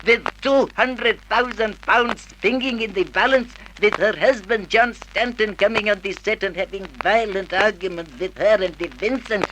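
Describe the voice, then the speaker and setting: An excitable, fretful movie producer in an old radio drama. His voice is bright and fairly high, with melodramatic emphasis, over faint recording noise. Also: moderate pace, hardly any pauses, rough recording. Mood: exasperated. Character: excitable, fretful male film producer with foreign turns of phrase. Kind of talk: 1950s radio drama acting.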